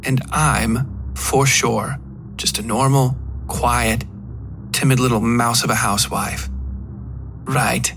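A man plays a menacing woman from a dark fiction audiobook, gravelly and deliberate. She taunts coolly and bullies with calm threats, over clearly audible music. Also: medium pitch. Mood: coolly coercive.

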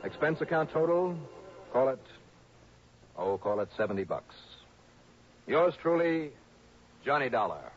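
An American actor playing a confident, hard-boiled insurance investigator in a 1960s radio drama, brisk and assertive. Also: male voice, mid-range voice, big pitch swings. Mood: dry, matter-of-fact. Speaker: lead actor of a radio detective series. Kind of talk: 1960s radio drama acting and first-person narration. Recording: muffled, noisy vintage radio recording.

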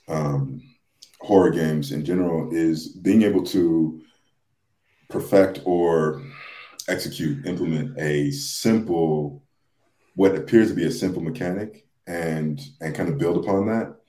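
Low-voiced man in a roomy space. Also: mellow. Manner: halting, searching for words. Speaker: podcast speaker. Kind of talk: casual podcast discussion.

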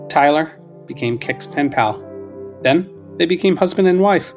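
A man's voice: fairly high pitch, animated, measured pace. A man hosting a podcast, narrating a scripted story with a playful, storytelling delivery. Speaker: podcast host. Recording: music bed behind voice. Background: music.

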